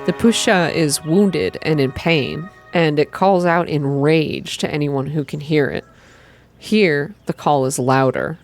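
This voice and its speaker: A grave male activist from a visual novel, played by a woman. He speaks low and measured, with mystical conviction, over faint music. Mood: grave, impassioned. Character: grave, mystical man, passionate forest activist.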